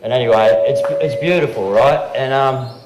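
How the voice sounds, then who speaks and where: A man's voice: medium pitch, moderate pace, a little mellow. An Australian man speaking casually on a live stream, rambling and often checking in with "okay?" and "right?" as he talks into a handheld microphone. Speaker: regular guest, amateur songwriter. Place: live venue room. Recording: roomy live room with guitar.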